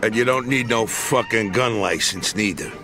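An actor playing a brash, wisecracking young gangster sidekick in a video game, talking profanely. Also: male voice, fairly low pitch, moderate pace, gravelly, over music. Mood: brash, wry. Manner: profane, smart-aleck. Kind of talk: video game cutscene acting.

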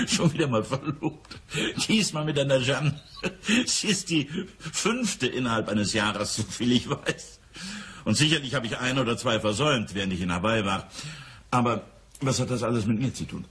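An actor playing a casual, wryly humorous wealthy businessman in a radio crime drama, with a very rough, husky voice. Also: medium pitch, normal speed, fairly bright tone, slight background noise, lightly acted. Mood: amused. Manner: salopp, humorous, chuckling asides.

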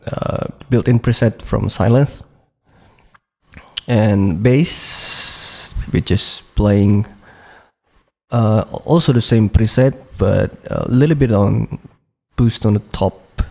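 A man with a gravelly voice and a dark tone walks through a production session at an unhurried pace. He pauses often and fills the gaps with "uh" and "okay", in a plain tutorial way. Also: fairly low pitch. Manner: slow, hesitant walkthrough with frequent "uh" and "okay". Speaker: music producer. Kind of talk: screen-recorded production tutorial.

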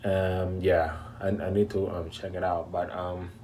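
A man in his thirties hosting a casual reaction video from a small room at home, talking in a relaxed, rambling way with lots of fillers into a close webcam mic. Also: low voice, a little slow, a little hiss or hum. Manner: laid-back, rambling, many fillers.

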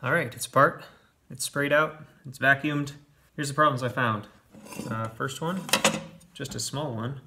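A man in his forties talks through hands-on repair work in a workshop. His voice sits at a medium pitch. He is plainspoken and matter-of-fact, with a self-deprecating streak. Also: fairly even intonation, measured pace, long pauses, sharp tone. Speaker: home repair video host. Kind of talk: casual hands-on repair explanation. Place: indoor home workshop.

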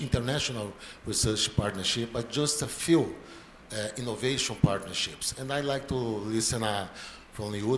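A middle-aged man with a Brazilian accent, a professor politely putting a formal question from the audience. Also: on the low side. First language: Portuguese. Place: auditorium audience floor. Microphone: handheld. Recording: echoey hall with slight noise.

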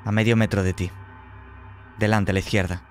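A man's voice: on the low side, flat delivery, brisk, faint music behind. A man with a Spanish accent from Spain, acting as game master and narrating a horror role-playing game in short, suspenseful phrases.

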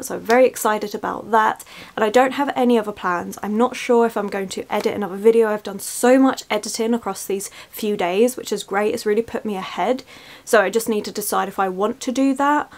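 A young woman talking casually to camera in a reading vlog, chatty and upbeat with rambling asides, at home among her bookshelves. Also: medium pitch. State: stuffy from a cold. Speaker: book vlogger. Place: small bedroom with bookshelves, indoors.

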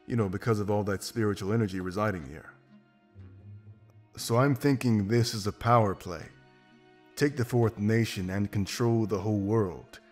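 Casting: confident, pragmatic wolf sellsword for a fantasy game, male actor, low register, blunt delivery over music. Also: moderate pace, darkish tone, lightly acted. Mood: explaining. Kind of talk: video game voice acting. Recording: music behind.

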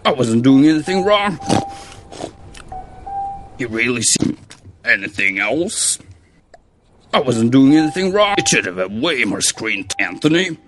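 An actor playing a slobby, defensive and gossipy pig in an adventure game. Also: male voice, mid-range voice, very animated, measured pace, pauses often, noisy background. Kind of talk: animated video game character acting.

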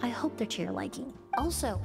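A woman playing a poised, scholarly young perfumer in a video game, articulate, polite and gently firm. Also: a little high, animated, fairly quick, gravelly. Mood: polite, modest. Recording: background music under voice.

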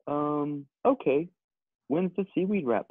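A dazed, dim male model played by a man in a comedy read. He talks slowly and vacantly in a slightly high voice, through a thin, phone-like video call. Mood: dazed, agreeable. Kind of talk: comedic movie script table read.

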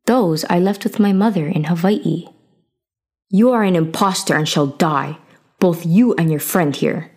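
A woman's voice: lowish voice, a little slow, a little mellow, slightly rough. Commanding chief, acted by a woman, stern, accusing, storybook legend delivery. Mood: angry.

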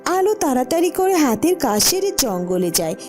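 A woman narrates a scripted story for children. Her tone is soft-edged and mellow. Quiet music plays behind her narration. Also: high voice, sing-song, normal speed.

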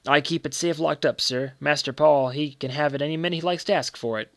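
Guy doing a humble servant in a storybook, earnest n confiding, gravelly, dry sound. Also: on the high side, brisk, a bit bright, dry recording. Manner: respectful, confiding, colloquial. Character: deferential, earnest manservant, hushed and reverent about secrets.

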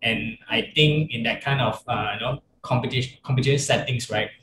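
A young man hosts a relaxed webcam podcast, chatty and easygoing. His voice sits at a middling pitch. The sound carries a noticeable room echo. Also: fairly quick, noisy background. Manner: easygoing, chatty, lots of "you know". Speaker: podcast host. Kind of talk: casual video-call interview. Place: small room indoors. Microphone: video call webcam. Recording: roomy echo on a video call.

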